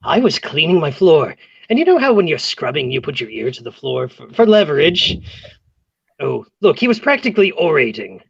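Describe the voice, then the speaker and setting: Woman doing a nosy melodramatic gossip neighbour, slightly rough husky voice, really swoopy, stage farce. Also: low-pitched, sing-song, moderate pace, dry recording, lo-fi sound, exaggerated voice. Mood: prying. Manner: melodramatic, prying. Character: nosy, gushing female neighbour, gossipy and hungry for romance. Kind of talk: cold table read of a stage comedy.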